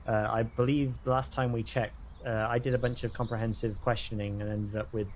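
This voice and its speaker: British male player in a tabletop game, middling pitch, casual and hesitant, faint noise behind him. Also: flat delivery, a little fast. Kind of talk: casual improvised role-play chat.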